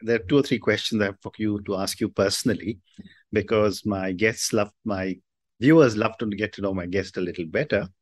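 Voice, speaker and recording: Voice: sits low, a little fast. An interview host who murmurs agreement often and asks the guest questions. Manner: frequent murmured agreement, encouraging. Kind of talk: casual interview.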